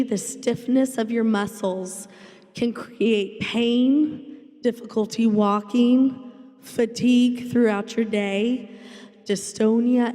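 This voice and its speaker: A woman therapist with a slightly scratchy, mellow voice speaks briskly and encouragingly. Her voice carries a long reverb from a conference hall. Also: fairly high pitch. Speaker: occupational therapist. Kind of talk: panel talk at a symposium.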